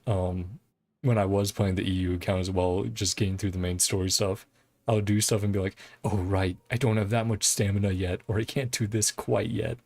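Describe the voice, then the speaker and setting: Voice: low voice, a little mellow, dry recording. A game streamer giving casual, rambling live commentary in a chatty way, often chuckling. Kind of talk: casual livestream gameplay commentary. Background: game music.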